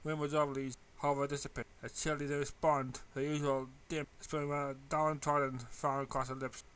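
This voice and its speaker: A man's voice: fairly high pitch, level tone, a little fast, fairly bright tone, smooth. A man reading a story aloud as scripted narration. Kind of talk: scripted narration, amateur fan fiction reading.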